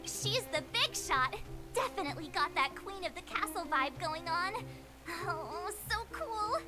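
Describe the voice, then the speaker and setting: Bubbly game heroine, very high, lively pitch, game music behind. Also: female voice, a little slow. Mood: curious. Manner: chatty, excitable and playful. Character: bubbly, curious young woman adventurer. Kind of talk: video game voice acting.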